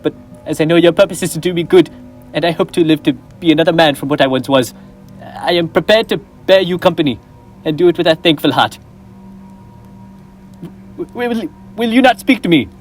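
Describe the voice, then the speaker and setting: A man's voice: a little high, fairly quick, natural voice. A young man playing an old miser in a school stage play, talking earnestly and imploring, with music behind. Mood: fearful. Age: teens. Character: old male miser Scrooge, fearful and pleading. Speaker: stage actor.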